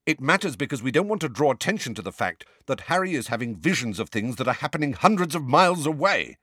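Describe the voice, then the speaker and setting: A man's voice: on the low side, big pitch swings, brisk, fairly bright tone, dry recording, studio quality. A man doing an impatient grown man. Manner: clipped, impatient. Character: grown man, tense, impatient, sometimes angry.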